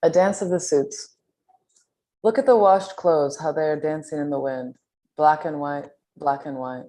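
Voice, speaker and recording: A woman with an exceptionally low, mellow voice reads translated poetry aloud. Her tone is dark and relaxed, in the style of a literary reading. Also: normal speed.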